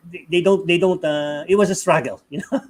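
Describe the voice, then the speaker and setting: A man's voice: high voice, moderate pace. A man from the Philippines with a Filipino accent, telling personal stories conversationally as an interview guest, often chuckling. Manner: frequent chuckling, animated retelling with acted-out quotes.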